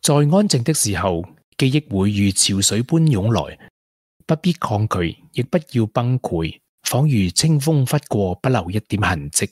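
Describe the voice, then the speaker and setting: An actor playing a supportive, sensible young boyfriend in a Hong Kong Cantonese radio drama. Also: male voice, fairly low pitch, big pitch swings, a little slow, a little mellow, slightly rough, no room sound, studio quality, exaggerated voice. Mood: reading poems solemnly.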